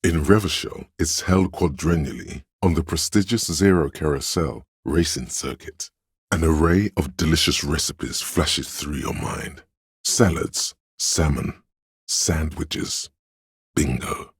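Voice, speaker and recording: An extremely low, gravel-edged male voice plays the learned inner encyclopedia of a video game character's mind. He lectures in a measured, pedantic manner, matter-of-fact with dry asides. The sound is very husky and close, with no room around it. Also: no room sound, exaggerated voice. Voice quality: very deep, husky. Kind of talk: scripted video game narration of trivia.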